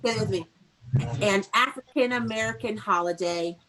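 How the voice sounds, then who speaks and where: A woman elementary teacher with a mid-pitched voice leads a read-aloud lesson over a video call. She speaks in an instructive way, stressing key words carefully and inviting young pupils to say them back. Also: normal speed, a bit bright, slight background noise, poor audio. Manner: instructive, prompting repetition, enunciating key words.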